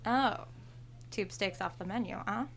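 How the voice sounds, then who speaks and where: An actress playing a sardonic, self-assured store cashier of thirty in a horror audio drama. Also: female voice, mid-range voice, unhurried, fairly bright tone, a little hiss or hum. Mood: scheming, sly. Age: 30s. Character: brazen, entitled thirty-year-old store cashier, sly confidante.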